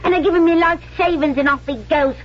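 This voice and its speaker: An actress playing an indignant working-class London wife in a radio drama, with a London accent, accusing and pleading. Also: female voice, sits high, fast talker, natural voice. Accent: English working-class London. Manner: loud, accusatory, plaintive. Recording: muffled vintage radio recording.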